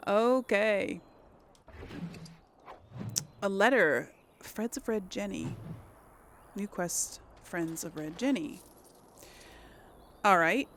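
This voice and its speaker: A woman hosting a casual let's play, thinking aloud in a folksy way with a creaky voice as she comments on the game. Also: mid-range voice, lively intonation, extremely slow, long pauses, fairly bright tone. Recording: faint background game noise.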